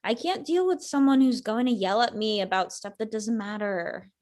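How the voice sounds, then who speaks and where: A woman's voice: fairly high pitch, normal speed, a little mellow, lightly acted. A woman acting a blunt, sarcastic ex-girlfriend in her thirties in a conversational comedy script reading. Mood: indignant.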